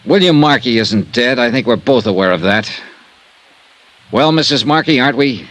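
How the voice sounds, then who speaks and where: An actor playing a cynical, hard-boiled insurance investigator with an American accent, dry and direct, in a 1950s radio detective drama. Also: male voice, medium pitch, expressive, normal speed, fairly bright tone, natural voice. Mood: stern warning.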